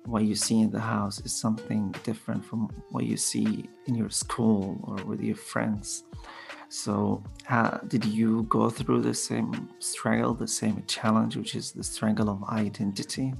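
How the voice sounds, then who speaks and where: A man's voice: fairly low pitch, level tone, vocal fry, soft background music. A man asks long interview questions, hesitating and repeating words as he goes. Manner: halting, repeats words while asking.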